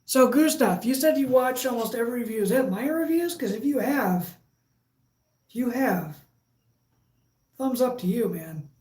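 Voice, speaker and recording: A woman with a Michigan accent hosting a casual live stream, chatting with viewers in a relaxed, halting way with lots of fillers and restarts. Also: fairly high pitch. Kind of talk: casual live chat, reading viewer comments. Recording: echoey room.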